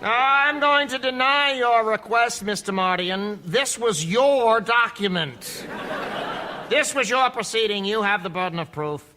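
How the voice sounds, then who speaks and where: An actor playing a male judge with dry, measured authority in an audio drama. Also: high-pitched, very noisy. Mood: firm. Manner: measured, dryly amused courtroom authority.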